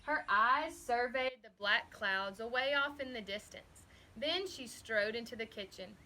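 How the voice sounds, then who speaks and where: A woman reading a children's story aloud expressively, with deliberate, dramatic emphasis. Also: fairly high pitch, bright, forceful tone, poor audio. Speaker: storybook reader.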